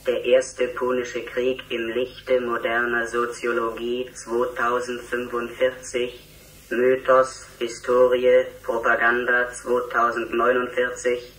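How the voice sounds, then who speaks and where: Robot computer voice for a radio play, dead monotone, sharp and a bit far off, echoey. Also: male voice, medium pitch, slow. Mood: flat, emotionless. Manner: monotone, staccato, mechanical recitation. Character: electronic robot speaker reading out surveillance files, emotionless. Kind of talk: radio drama acting.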